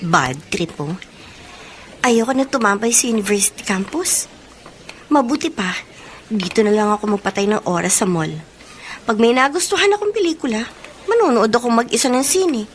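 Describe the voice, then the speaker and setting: An actress playing a bored, sulky young university student in a radio drama monologue. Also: female voice, fairly high pitch, lively intonation, normal speed, pauses often, a little hiss or hum, natural voice. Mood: annoyed, bored. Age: young adult. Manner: sulky, complaining.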